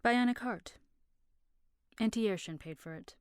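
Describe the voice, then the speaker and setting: A woman's voice: a little high, measured pace, clear, resonant tone, dry recording, natural voice. A woman plays a young female henchwoman in a crime audio drama. She is serious and guarded, with terse delivery. The sound is dry and close.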